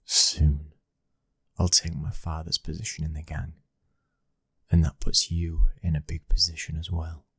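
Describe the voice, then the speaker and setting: Very deep, husky British man acting as mafia heir. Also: flat delivery, unhurried, a bit bright, no echo, full character voice. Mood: firm, serious. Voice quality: husky, breathy, often dropping toward a whisper. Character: commanding young male mafia heir, controlled and protective. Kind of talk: ASMR boyfriend roleplay, scripted acting.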